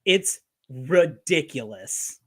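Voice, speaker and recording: Man, slightly high voice, lively swooping intonation, casual profane podcast banter, joking rambling storyteller. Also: drawn-out words, husky. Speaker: wrestling podcast co-host.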